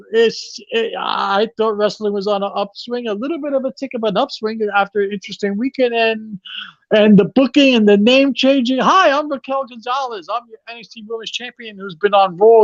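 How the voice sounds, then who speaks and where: A man with a notably high voice talks over a dry, slightly dull recording. He rambles through sarcastic complaints, often restarting his sentences in casual podcast banter. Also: no room sound. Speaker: podcast co-host. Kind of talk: casual wrestling chat.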